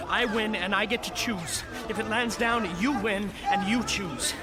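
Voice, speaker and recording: An actor playing a brave, loyal wounded soldier in a radio drama, speaking in an urgent, strained, declamatory way. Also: male voice, high-pitched, a little slow. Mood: resolute. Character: wounded, brave, loyal imperial guard bearing grim news. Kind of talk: scripted radio drama acting.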